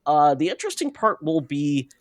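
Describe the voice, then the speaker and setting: A man's voice: on the high side, very animated, normal speed, dry recording. A man co-hosting a podcast, talking casually about movie news. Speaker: podcast co-host.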